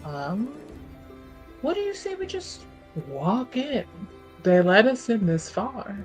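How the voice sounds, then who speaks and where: A woman playing an uneasy but resolute female adventurer in a tabletop game, speaking with measured, formal reluctance. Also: a little high, huge pitch swings, faint music behind, voice pushed up, heavily acted.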